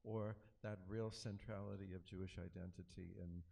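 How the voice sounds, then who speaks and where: A man's voice: low-pitched, steady tone, normal speed, gravelly, warm tone, far from the mic. A man moderating a post-film Q&A, asking a long scholarly question with hedging fillers. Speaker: moderator, academic. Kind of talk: academic Q&A moderation. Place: cinema auditorium.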